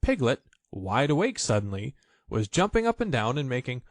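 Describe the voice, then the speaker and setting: A man reading a children's story aloud as an expressive audiobook narrator. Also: medium pitch, moderate pace, dry recording, studio quality.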